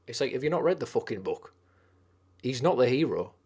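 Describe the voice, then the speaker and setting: A man's voice: mid-range voice, sing-song, a little fast, a little mellow, husky. A man with a Teesside accent hosting a live stream, chatting casually and swearing as he reads and answers viewer comments. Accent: Teesside English. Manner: rambling and sweary, with frequent restarts.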